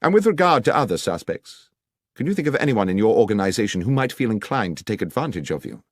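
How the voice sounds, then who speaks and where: A man voicing a brisk, incisive and coolly polite gentleman detective in an audiobook. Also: on the low side, big pitch swings, no room sound, clean studio sound. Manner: clipped, courteous, businesslike. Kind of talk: audiobook character dialogue.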